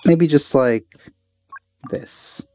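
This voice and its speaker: A man's voice: on the high side, drawn-out words, a little mellow, faint music behind. A man giving casual gameplay commentary, musing aloud and trailing off as he thinks. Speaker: gaming video maker.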